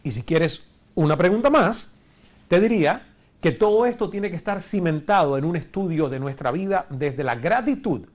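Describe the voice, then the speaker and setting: A man's voice: on the high side, darkish tone. A man in his forties from Cuba, standing before a screen in a studio and teaching an online course with a lapel mic, earnest and asking rhetorical questions. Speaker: course instructor. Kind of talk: recorded online lecture.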